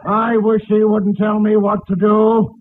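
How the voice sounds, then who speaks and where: An actor playing a blustering, pompous henpecked husband who complains and exclaims in 1940s radio comedy style. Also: male voice, sits high, completely flat delivery, measured pace, narrow, tinny audio. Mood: exasperated grumbling. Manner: complaining, exclamatory comic delivery. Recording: noisy, thin old-time radio recording.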